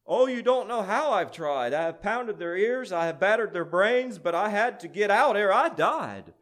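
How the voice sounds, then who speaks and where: A man's voice: high-pitched, big pitch swings, measured pace, pitched above the performer's own voice. A man voicing a sly, jealous little demon imp with intense, emphatic delivery in a dramatic verse recitation. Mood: desperate, protesting.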